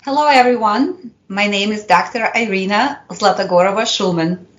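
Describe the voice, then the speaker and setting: Russian-accented woman telling a measured story. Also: mid-range voice, fairly bright tone, lo-fi sound. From Soviet Union. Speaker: university lecturer. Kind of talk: prepared personal story for a podcast.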